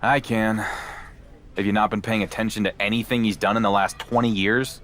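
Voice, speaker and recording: A man's voice: on the low side, flat delivery, moderate pace, a bit bright, close to an everyday voice. A man playing a determined wrestling champion in a game cutscene, talking with dry, wry sarcasm over slight background noise. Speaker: wrestler character. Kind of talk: conversational video game cutscene.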